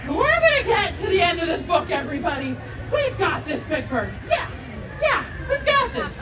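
A young woman hosting an animated story time for children, exaggerated and encouraging as she addresses them. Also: very high voice, moderate pace. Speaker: theme park storyteller. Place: outdoor theme park stage, crowd behind. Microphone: picked up by distant camera. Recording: distant, echoey outdoor crowd, loud noise.

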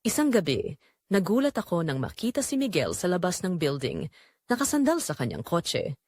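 A woman narrating a scripted first-person romance story in an expressive, sentimental storytelling manner. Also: on the low side, normal speed, breathy edge, no echo, clean studio sound.

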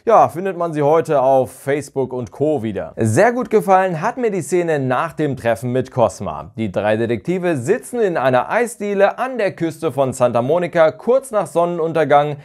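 Expressive man with a slightly high voice. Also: normal speed, hardly any pauses. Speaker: YouTube reviewer of audio dramas. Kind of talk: semi-scripted review narration. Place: small furnished living room or den indoors.

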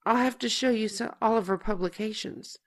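A woman's voice: a little high, natural voice. Woman acting a tender, protective big sister. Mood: tender, nervous. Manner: tender, halting, emotional. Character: protective, tender older sister explaining family past. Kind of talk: audio drama dialogue.

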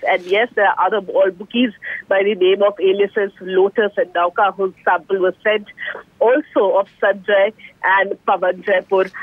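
A woman's voice: medium pitch, no echo. Indian woman reporter on tinny phone line. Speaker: news reporter. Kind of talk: live news report, unscripted.

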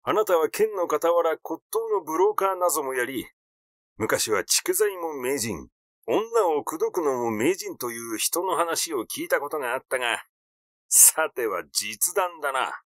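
A man's voice: a little high, animated, measured pace, a bit bright, dry recording, clean studio sound. Man acting a cheeky, glib, needling fellow. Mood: sly, teasing. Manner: colloquial, needling, brash. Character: glib, cheeky male acquaintance, teasing and eccentric. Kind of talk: audiobook character reading.

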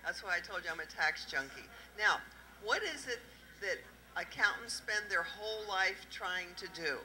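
A middle-aged woman with a Southern US accent, a tax accountant giving a lively talk at an indoor event and quizzing the audience through a handheld microphone. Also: mid-range voice, normal speed, rough recording. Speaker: tax accountant presenting at a panel. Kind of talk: interactive talk to a live audience.

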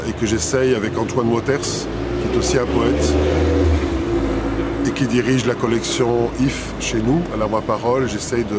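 A man in his fifties, a poet and publisher, answering interview questions thoughtfully with many hesitations and restarts. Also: medium pitch. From Italy and Belgium. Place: city square outdoors, traffic nearby. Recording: outdoor street noise.

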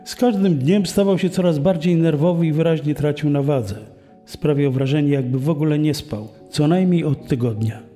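Man playing a mellow, higher-voiced investigator in reverb. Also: moderate pace, natural voice. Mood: sombre. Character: sombre male police investigator recalling a case. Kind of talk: scripted documentary testimony. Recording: long reverb, music underneath.

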